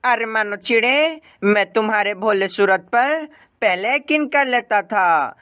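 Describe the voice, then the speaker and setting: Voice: medium pitch, expressive, measured pace, bright, forceful tone, clean tone, no echo, clean studio sound. A narrator voicing an angry, distrustful sparrow wife in a cartoon moral story. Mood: angry accusing.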